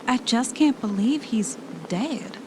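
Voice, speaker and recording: A woman's voice: a little high, lively intonation, slow. A woman playing a frightened, anxious wife in an amateur audio drama, earnest and questioning. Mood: weary, relieved. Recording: clearly noisy amateur recording.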